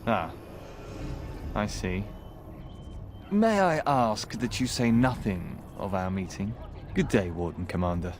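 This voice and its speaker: An actor playing a sly, courteous underworld dealmaker in a video game, speaking formally and insinuatingly. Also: male voice, fairly low pitch, lively intonation, slow pace, long pauses, noisy background. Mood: conspiratorial, polite. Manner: smooth-talking, formal, insinuating. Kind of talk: video game character dialogue.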